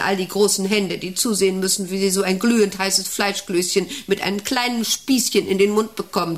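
Actress as creaky-voiced, self-important female company boss. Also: mid-range voice, lightly acted. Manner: rambling, domineering, self-absorbed. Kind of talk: radio play monologue and dialogue.

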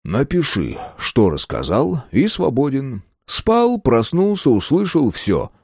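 A man voicing a menacingly cheerful police major in an audiobook, teasing and coaxing as he needles a suspect with false gentleness. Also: medium pitch, wildly swooping pitch, slow, husky, no room sound, studio quality, voice pushed up, full character voice. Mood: falsely gentle coaxing.

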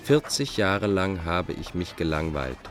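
A self-absorbed fugitive in his forties in a radio drama. His low voice moves freely between brooding and bold defiance, theatrical and deliberate, with faint music behind. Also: big pitch swings. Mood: defiant. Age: 40s. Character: defiant, self-absorbed forty-year-old male fugitive, bored no more. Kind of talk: radio drama acting, interior monologue and dialogue.

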